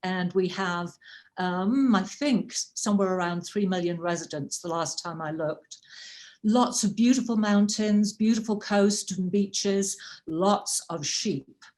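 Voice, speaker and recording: A woman's voice: medium pitch, unhurried, darkish tone. A woman from rural North Wales with a Welsh accent, giving a chatty, self-deprecating personal talk at a club meeting over a video call.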